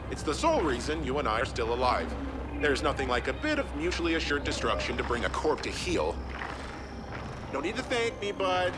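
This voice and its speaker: A man playing a cocky, wry schemer in a video game, sardonic, familiar and pleased with himself. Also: a little high. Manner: sardonic, familiar, self-satisfied. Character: cocky, chummy schemer, a wry male ally. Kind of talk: scripted video game dialogue.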